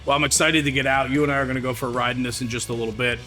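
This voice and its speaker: Bright-voiced male host over soft guitar. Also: mid-range voice, fairly even intonation, racing. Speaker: host and interviewer. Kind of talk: casual on-camera interview. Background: light guitar music.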